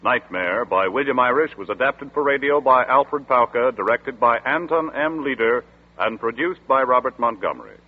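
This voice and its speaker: A male announcer reads credits in a formal, measured voice with a husky edge. The sound is tinny and lo-fi, the band-limited sound of a 1940s broadcast. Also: medium pitch, animated.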